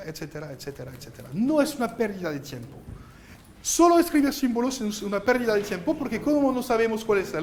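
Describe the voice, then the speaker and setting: A man whose first language is French, lecturing a university math class and explaining each step with halting restarts. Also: high-pitched, slight background noise. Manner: explains step by step, insistent, gently scolding; halting, restarts while writing on board. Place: lecture hall.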